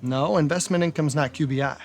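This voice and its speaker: A man playing a charming, smooth-talking old-time gentleman who uses breezy, old-fashioned patter. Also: a little high, a little fast, faint music behind, natural voice. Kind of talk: comedic noir skit acting.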